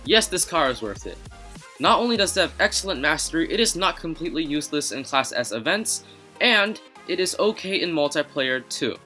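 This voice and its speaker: A man's voice: fairly high pitch, big pitch swings, brisk, sharp tone, faint music behind. A young man whose first language is Chinese, narrating a racing game video in a chatty, self-mocking way. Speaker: gaming YouTuber. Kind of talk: casual game commentary voice-over.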